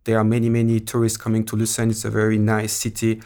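A man's voice: on the low side, completely flat delivery, fast, mellow. A middle-aged Swiss man with a French accent, a native French speaker, talking as an academic guest in a podcast interview, hesitant, with many fillers and restarts. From Switzerland.

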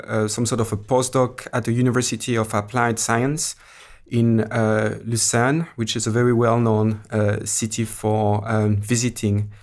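A man's voice: on the low side, little pitch movement, normal speed, darkish tone. A middle-aged Swiss man with a French accent, a native French speaker, talking as an academic guest in a podcast interview, hesitant, with many fillers and restarts. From Switzerland.

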